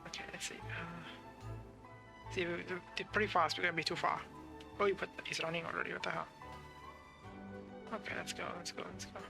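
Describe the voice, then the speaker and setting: A man's voice: high-pitched, level tone, a little fast, gravelly, pauses often. A man streaming a game with casual commentary, grumbling and talking to himself as he plays. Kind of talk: casual live gameplay commentary. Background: game music.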